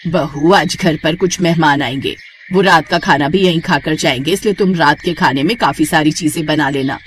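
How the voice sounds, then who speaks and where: Casting: female narrator as older village saas in a storybook tale, lowered pitch, matronly and bossy. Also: normal speed, slight background noise, close to an everyday voice. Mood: instructing. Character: older village mother-in-law, reasoning, bossy.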